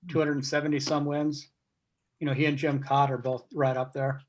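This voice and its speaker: A man talking casually as a guest on a video call, reflective and measured, often posing questions. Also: fairly high pitch, little pitch movement, low-quality recording. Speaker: guest speaker, baseball writer. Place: remote video meeting. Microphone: video call microphone.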